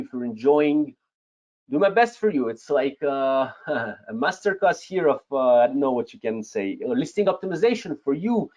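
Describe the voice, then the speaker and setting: A Russian-accented man in his thirties leads a live online class, his voice sitting a little high for a man. He keeps checking in with viewers, tags his points with "yes?" and chuckles between them. The webcam sound is a bit dull and lo-fi. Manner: lively, talks to the chat, frequent "yes?" tags and chuckles. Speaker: webinar host, Amazon seller coach. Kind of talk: live webinar teaching. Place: home room, indoors. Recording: slightly dull lo-fi webcam audio.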